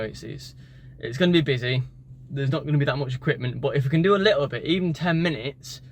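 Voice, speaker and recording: A man, a native English speaker, talking casually in a vlog about learning languages, tired after the gym and rambling with many fillers and restarts. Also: medium pitch. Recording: street and car noise behind.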